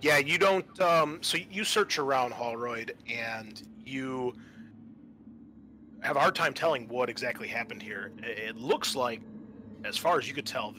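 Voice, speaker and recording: A man working as game master, improvising narration for a tabletop roleplaying game in a halting, thinking-aloud way. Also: mid-range voice, sharp tone.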